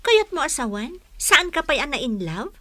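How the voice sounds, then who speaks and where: A woman as a bright, high-voiced chatty friend. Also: big pitch swings, normal speed, no echo, exaggerated voice. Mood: playful. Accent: Filipino. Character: chatty, curious young woman, loyal friend. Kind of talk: comedy drama acting.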